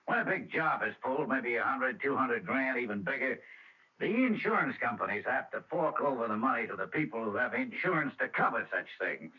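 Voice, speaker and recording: A man's voice: medium pitch, sing-song, brisk, natural voice. A man acting in a radio drama as a smug, dogged insurance detective with slangy, insinuating tough talk. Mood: businesslike, sly. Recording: muffled old radio recording.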